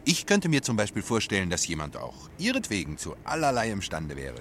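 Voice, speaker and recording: Casting: gallant macabre tease for radio drama, lowish voice leaping in pitch, theatrical. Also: male voice, huge pitch swings, fairly quick, a bit bright. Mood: mocking, teasing. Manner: mocking, theatrical. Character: flippant, morbid male joker, teasingly gallant. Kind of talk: German radio play acting. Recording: faintly noisy radio play recording.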